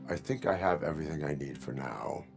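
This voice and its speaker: An actor playing a stern cyborg police officer in a video game, speaking in formal, stiff, by-the-book phrases. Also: male voice, very deep voice, animated, brisk, creaky, music in the background, heavily acted.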